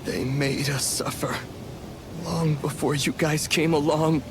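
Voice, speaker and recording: A woman playing an embittered, grieving former slave in a dramatic video game scene, speaking in a halting, emphatic way. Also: low voice, steady tone, noisy background. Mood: bitter grief. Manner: slow, halting, emphatic delivery.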